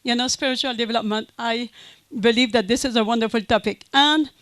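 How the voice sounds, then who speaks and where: A French Canadian woman, a native French speaker, giving a conference lecture in a halting way with many fillers and restarts. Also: fairly high pitch, fairly even intonation, a bit bright, no room sound. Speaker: guest speaker.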